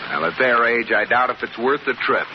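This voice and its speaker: An actor playing a grumbling, wisecracking husband in an old radio sitcom, dry and exasperated, on a muffled, noisy recording. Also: male voice, mid-range voice, expressive, moderate pace, close to an everyday voice. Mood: dry teasing. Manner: dry complaints and exasperated quips. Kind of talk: 1940s-50s radio sitcom acting.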